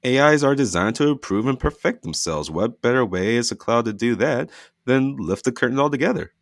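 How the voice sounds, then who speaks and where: A man's voice: medium pitch, lively intonation, brisk, dry recording, studio quality, voice pushed up, lightly acted. A man voicing an insistent, easily hurt adult son living in a virtual cloud.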